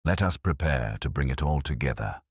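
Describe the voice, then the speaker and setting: Man playing a deep, calm police inspector. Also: husky, no room sound, studio quality, heavily acted. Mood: measured, reflective. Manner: measured, formal, gently lecturing. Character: calm, kindly, tactful male police inspector.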